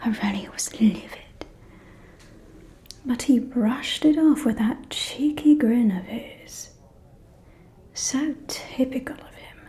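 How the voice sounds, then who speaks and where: A woman playing a gossiping, catty aristocratic vampire lady in a whispered ASMR roleplay, with a breathy, confiding, arch delivery. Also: fairly high pitch, dark tone. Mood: conspiratorial, catty. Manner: slow, confiding, arch, drawling.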